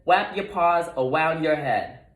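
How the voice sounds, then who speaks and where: A man reading a storybook puts on a timid little boy rodent. The voice is raised high and drawn out slowly, with every R turned into a W. The room echoes around it. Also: fairly bright tone. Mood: timid, squeaky. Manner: says W in place of R. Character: timid little male rodent who mispronounces R as W. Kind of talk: children's storybook read-aloud.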